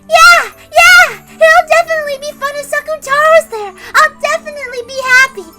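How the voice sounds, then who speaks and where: An innocent, affectionate little girl with a very high, bright voice. She gushes like a child, eager and emphatic, in a visual novel read aloud over music. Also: moderate pace, full character voice. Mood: excited, grateful.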